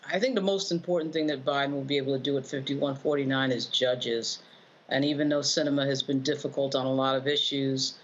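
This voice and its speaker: Low-voiced woman, dull noisy audio. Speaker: panelist, political commentator. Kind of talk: unscripted political commentary. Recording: dull lo-fi audio with background noise.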